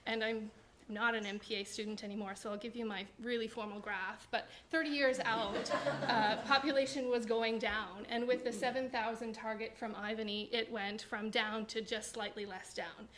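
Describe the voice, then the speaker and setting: Woman, 30s, squeaky, self-deprecating panel speaker at a podium mic. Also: fairly even intonation, normal speed, a bit bright, slight background noise. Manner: chatty, self-deprecating, frequent ums. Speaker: provincial immigration director. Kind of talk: panel presentation. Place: university lecture room. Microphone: podium microphone.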